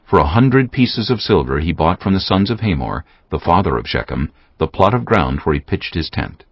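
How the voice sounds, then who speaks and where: A man narrating scripture as a scripted drama, in a solemn, measured storytelling manner. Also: low-pitched, animated, no room sound. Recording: dull sound, clear music bed.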